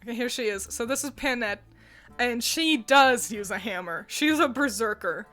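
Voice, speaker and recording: A young woman chatting casually as co-host, gushing with excitement and laughing often. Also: a little high, moderate pace, faint music behind. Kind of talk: casual chat.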